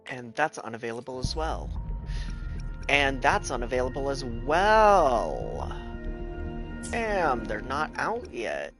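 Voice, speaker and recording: Male game streamer, casual self-directed chatter, very varied pitch, game music behind. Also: sing-song, slow pace. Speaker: gaming streamer. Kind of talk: casual gameplay commentary.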